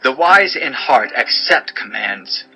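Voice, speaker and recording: Forceful, sharp-voiced male scripture narrator. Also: mid-range voice, expressive, takes it slowly, low-quality recording. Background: music bed.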